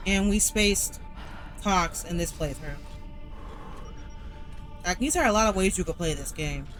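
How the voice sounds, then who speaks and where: A man's voice: sits high, unhurried. A man giving casual, rambling gaming commentary, often repeating his phrases. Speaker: game streamer. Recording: loud game music behind. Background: video game soundtrack.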